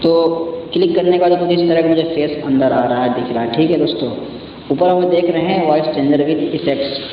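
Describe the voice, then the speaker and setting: Male tutorial host, casual step-by-step delivery, slightly high voice, muffled recording with clear background noise. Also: normal speed. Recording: echoey, muffled, distant mic, noisy background.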